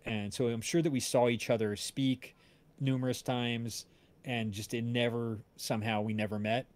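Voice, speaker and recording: A man's voice: medium pitch, level tone, moderate pace, darkish tone. A man hosting a casual livestream chat, rambling along and often searching for words as he tells stories. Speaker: podcast creator and stream host.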